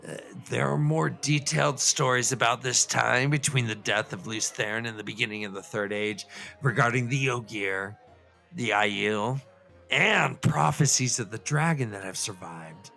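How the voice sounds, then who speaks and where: A man playing an excitable puppet lecturer who explains fantasy lore in a rambling, professorial way, with digressions and stammers. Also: medium pitch, normal speed, vocal fry, faint music behind. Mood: earnest, rambling. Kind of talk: semi-scripted comic puppet-show lecture.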